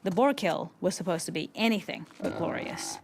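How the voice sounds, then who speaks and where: A woman's voice: on the low side, expressive, moderate pace, gravelly, a little mellow, a little hiss or hum. A woman working as a game level designer gives explanatory developer commentary on her work.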